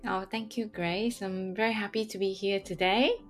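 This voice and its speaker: A woman from Singapore, first language Hakka, chatting as an interview guest with many pauses and restarts. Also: medium pitch, steady tone, soft background music. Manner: hesitant, many ums and restarts. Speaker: guest, parent and blogger. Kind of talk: casual interview chat.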